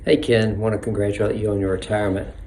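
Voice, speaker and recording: A man's voice: fairly low pitch, little pitch movement, fast talker, a little mellow. A man in his sixties giving a plain, reminiscing congratulations in a phone video. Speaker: retiring doctor's colleague. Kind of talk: video message. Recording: faint background noise.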